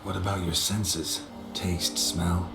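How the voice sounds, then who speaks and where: Gruff weary monster hunter for a game, really deep gravelly voice, dry and deadpan, bit echoey. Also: male voice, slow pace, music in the background. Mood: dry, calm. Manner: laconic, clipped, deadpan. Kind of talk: video game voice acting.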